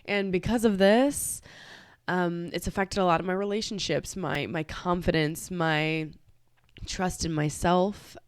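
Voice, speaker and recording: A woman's voice: fairly low pitch, fairly even intonation, measured pace, clear, resonant tone, no echo, studio quality. Nasal, hesitant woman podcaster with a cold. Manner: hesitant, many fillers and restarts, nervous. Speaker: podcast host. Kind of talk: casual confessional podcast talk. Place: her room at home.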